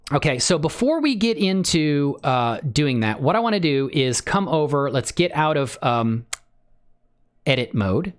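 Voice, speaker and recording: A man hosting a casual live software tutorial, exclamatory and given to interjections. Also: on the high side. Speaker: livestream tutorial host.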